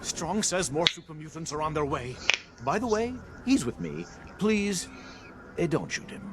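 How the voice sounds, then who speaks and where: A man's voice: a little high, expressive, unhurried, heavy background noise. An actor playing a flamboyant, theatrical male captive in a video game, with a pompous, dramatic delivery. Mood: pleading. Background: loud game music.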